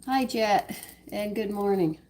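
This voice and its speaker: A woman hosting a live cooking stream, hoarse from losing her voice, chatting homily to viewers as she walks through each step. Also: medium pitch, takes it slowly, a little mellow. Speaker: cooking show host. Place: home kitchen. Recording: noisy home kitchen live stream. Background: clinking dishes and cutlery.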